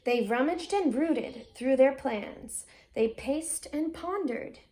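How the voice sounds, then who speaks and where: A woman teacher giving a read-aloud lesson for children, expressive and deliberately stressing key words. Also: high voice.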